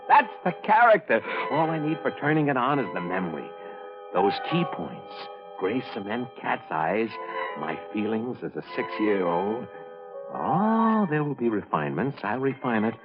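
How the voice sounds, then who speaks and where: A man's voice: medium pitch, big pitch swings, moderate pace, over music, close to an everyday voice. An actor playing an unhinged middle-aged man acting out a guilt-crazed murderer, declaiming feverishly and repetitively in old radio thriller style. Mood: manic, exultant. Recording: muffled old radio broadcast recording.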